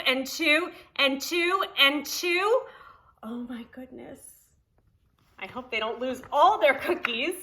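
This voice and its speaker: Bright, slow-paced woman reading to preschoolers. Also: high-pitched. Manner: child-directed, expressive, deliberate enunciation. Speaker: preschool teacher. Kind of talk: read-aloud for young children with counting lesson.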